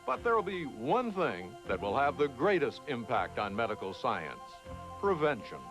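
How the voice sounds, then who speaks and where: A man reading scripted narration for a television news special in a measured, emphatic way. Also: mid-range voice, big pitch swings. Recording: 1980s television on VHS, music underneath. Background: background music.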